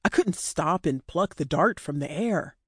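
A woman's voice: sits low, lively intonation, unhurried, slightly rough, no room sound, clean studio sound, full character voice. A woman voicing a terse, defensive male henchman in an audiobook story. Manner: terse, snapping.

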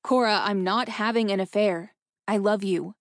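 A woman's voice: mid-range voice, steady tone, moderate pace, vocal fry, dry recording, lightly acted. Audiobook role: female reader as tired, guilty-seeming husband, earnest, pleading, denying all. Dry recording. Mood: weary denial. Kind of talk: audiobook character dialogue.

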